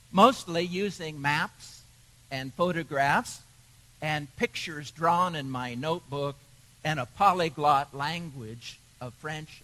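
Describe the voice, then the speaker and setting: Slow-speaking preacher man. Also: a little high, fairly bright tone, low-quality recording. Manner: storytelling, measured with pauses. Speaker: pastor. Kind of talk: sermon.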